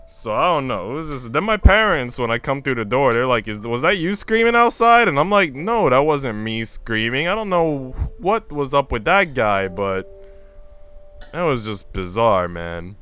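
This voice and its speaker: A man hosts a casual reading stream with a bright, forceful voice. His pitch moves around a lot as he shifts between slangy chat and story narration. Soft music plays faintly behind him. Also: moderate pace, smooth. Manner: slangy, animated storytelling in asides. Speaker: streamer reading a visual novel aloud to viewers. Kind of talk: casual livestream chat mixed with read-aloud narration.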